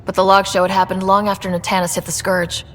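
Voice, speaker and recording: A woman playing a calm, capable young space explorer in a video game, composed and understated. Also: mid-range voice, fast, background noise, lightly acted. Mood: serious. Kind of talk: video game dialogue acting.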